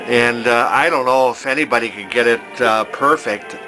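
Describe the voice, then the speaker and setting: An older man giving a casual, unscripted hobbyist demonstration, talking in a folksy, halting way as he thinks aloud. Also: medium pitch, music in the background, poor audio. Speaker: hobbyist demonstrating a vintage television he repairs.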